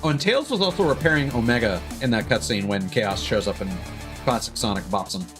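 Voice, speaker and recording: A man giving casual gameplay commentary, thinking aloud in a halting way. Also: mid-range voice, expressive. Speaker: game streamer. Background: video game music.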